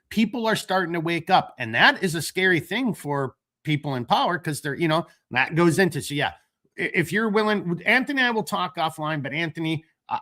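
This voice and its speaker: A man hosting a casual late-night talk show, rambling and animated, stopping to tell dad jokes. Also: on the high side. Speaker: podcast host.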